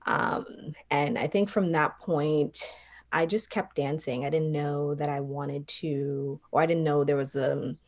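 A young woman from Trinidad talks about her path into dance, her voice low and gravelly with vocal fry. She answers reflectively, with frequent fillers and pauses. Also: fairly even intonation. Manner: reflective, many ums and you-knows. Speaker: professional dancer, guest. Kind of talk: conversational interview answers.

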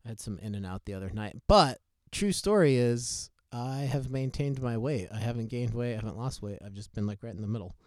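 A man's voice: medium pitch, animated, fairly quick, hardly any pauses, a little mellow, no echo, clean studio sound. A man co-hosting a casual podcast, rambling with many fillers and restarts. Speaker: podcast co-host.

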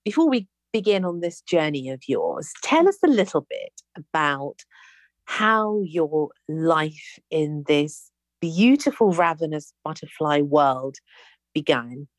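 A woman's voice: deep voice, big pitch swings, takes it slowly, no echo. A woman hosting an interview, reading an introduction and greeting her guest in an effusive, gushing way. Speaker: podcast host.

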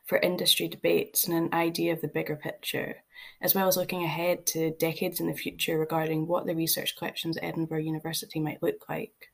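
A narrator reading a scripted introduction to an interview in a measured way. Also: mid-range voice, vocal fry, slightly rough.

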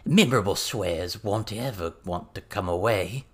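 A man voicing a crude, excitable little male goblin who lectures haltingly and theatrically in an audiobook. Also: on the low side, husky. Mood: eager, instructive.

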